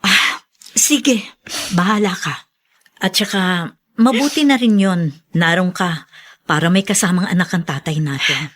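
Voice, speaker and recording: Exasperated, blunt big sister. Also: female voice, fairly low pitch, measured pace, long pauses, fairly bright tone. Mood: resigned. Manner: scolding, sighing, conversational. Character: exasperated, blunt older sister, a busy professional woman. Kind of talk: Tagalog radio drama acting.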